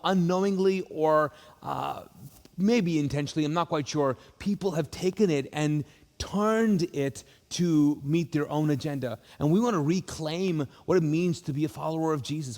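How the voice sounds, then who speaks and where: Chatty pastor in a reverberant hall. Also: male voice, a little high, darkish tone. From Kuwait. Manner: conversational, many fillers and restarts. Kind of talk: casual church welcome talk.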